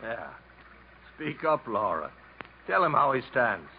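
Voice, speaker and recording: A man's voice: medium pitch, big pitch swings, takes it slowly, narrow, tinny audio. A man acting in a 1950s radio drama, playing a sneering, possessive villain who taunts and insinuates. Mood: taunting. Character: sneering, possessive male villain, coldly mocking. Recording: thin, band-limited, noisy old radio broadcast.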